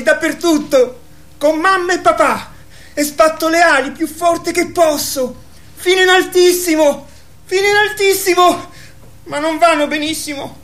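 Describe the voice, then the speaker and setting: A woman's voice: high voice, a bit bright. Dreamy, stubborn little girl. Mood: soaring, excited. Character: young immigrant girl, dreamy and stubborn, longing for wings. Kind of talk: Italian radio theatre acting.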